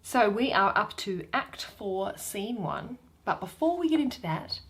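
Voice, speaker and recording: A woman's voice: medium pitch, animated, measured pace, fairly bright tone. A woman hosting a play reading, casual in her introduction and reading out stage directions and names. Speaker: host and reader.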